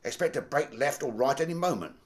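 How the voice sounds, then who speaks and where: A man's voice: medium pitch, expressive, brisk, natural voice. A man playing a plain-spoken, deferential Victorian manservant telling things colloquially in a radio drama. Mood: animated recounting. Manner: colloquial storytelling.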